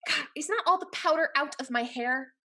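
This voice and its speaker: A woman's voice: sits high, moderate pace, pushed and bright. A woman playing a spirited, fashionable young heiress in a Restoration comedy, haughty, witty and affected. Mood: indignant. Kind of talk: theatrical Restoration comedy acting.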